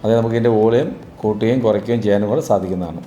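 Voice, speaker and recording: A man's voice: on the low side, rapid-fire, warm tone, highs cut off, slight background noise. A man presents a product review, explaining and rephrasing as he demonstrates. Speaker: product reviewer for a camera store. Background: background music.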